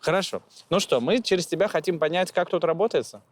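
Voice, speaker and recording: Male interviewer, slightly high voice, casual and inquisitive, repeats answers as questions, some background noise. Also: fast talker. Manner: inquisitive, often echoing answers back as questions. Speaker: interviewer, travel show host.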